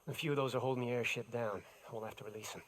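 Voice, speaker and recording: An actor playing a roguish, teasing adventurer in a video game, with wry delivery and folksy old-fashioned slang. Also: male voice, mid-range voice, fairly even intonation, rapid-fire, a little hiss or hum, close to an everyday voice. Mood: wry, purposeful. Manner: wry, playful. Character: roguish, teasing adventurer man with frontier swagger. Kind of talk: video game cutscene acting.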